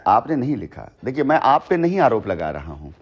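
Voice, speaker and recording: A man's voice: mid-range voice, sing-song, a little fast, husky. Man explaining emphatically on muffled phone call. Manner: emphatic, repeats phrases, long-winded. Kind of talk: phone conversation, explaining at length. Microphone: telephone. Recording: dull lo-fi recorded phone call.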